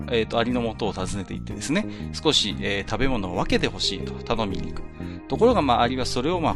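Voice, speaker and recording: A man hosting a podcast, explaining things in a casual but polite conversational monologue. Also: mid-range voice. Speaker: podcast host. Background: music under the voice.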